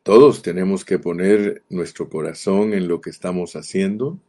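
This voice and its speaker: A middle-aged man from Guatemala with a Guatemalan accent delivers a sermon in an earnest, emphatic way. His voice sits very low and sounds dark and mellow. He often repeats a word slowly to drive a point home. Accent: Guatemalan Spanish. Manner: earnest, emphatic, repeats key words, slows down to stress them. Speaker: preacher.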